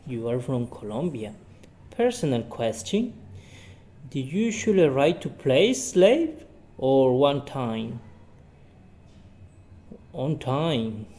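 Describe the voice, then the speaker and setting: A man's voice: medium pitch, big pitch swings, takes it slowly, pauses often, dark tone. A man teaching English by reading a short story and drilling it with questions he asks and answers himself, repeating each sentence.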